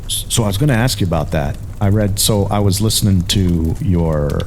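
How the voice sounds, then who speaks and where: A man with a fairly low voice who hosts a podcast and keeps up teasing, joking banter with his guest. There is faint noise in the background. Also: moderate pace, darkish tone. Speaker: podcast host. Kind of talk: casual podcast chat.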